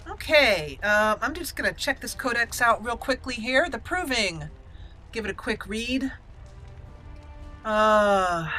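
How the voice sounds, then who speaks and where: Bright-voiced woman gaming host. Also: on the high side. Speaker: let's play host. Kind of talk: gameplay commentary and roleplay narration.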